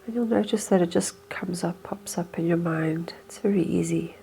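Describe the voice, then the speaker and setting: Low, creaky-voiced woman guiding a meditation. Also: slight background noise. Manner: soothing, hushed, unhurried phrasing. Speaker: guided meditation host. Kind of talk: slow hypnotic guided meditation.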